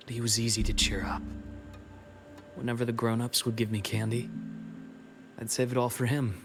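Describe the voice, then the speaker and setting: An actor voicing a somber, restrained man in a video game story, speaking in a measured, reflective way. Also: mid-range voice, level tone, lightly acted. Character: somber, restrained adult man recounting family tragedy. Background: clear game music.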